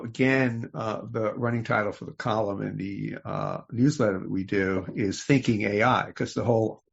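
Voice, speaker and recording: A man hosting an interview podcast, talking in a measured, deliberate way. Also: fairly low pitch, creaky, slightly rough. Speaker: podcast host. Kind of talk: interview talk. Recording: dull lo-fi recording.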